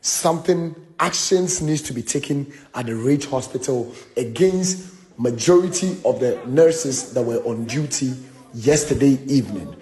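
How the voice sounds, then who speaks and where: A man with a Ghanaian accent making an indignant, emphatic spoken complaint, halting and repeating words as he goes. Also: mid-range voice, lively intonation, mellow, low-quality recording. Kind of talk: impassioned spoken complaint to camera.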